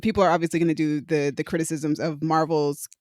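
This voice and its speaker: A mid-pitched voice of middling tone on a movie podcast, recorded close and dry. The speaker chats easily, trading news and opinions with co-hosts. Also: little pitch movement, fast talker, darkish tone, dry recording. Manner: chatty, shares opinions and news. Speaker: podcast co-host. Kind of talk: casual movie review chat.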